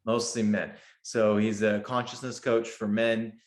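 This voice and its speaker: Male podcast host, low voice, echoey room, faint music, eager, chatty. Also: flat delivery, a little slow. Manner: friendly, eager, filler-heavy. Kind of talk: casual interview.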